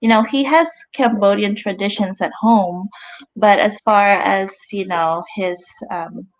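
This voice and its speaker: A young woman from the Philippines, answering interview questions in a soft, hesitant way with many ums. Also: medium pitch, measured pace. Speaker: guest. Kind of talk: casual interview chat. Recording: slightly dull audio.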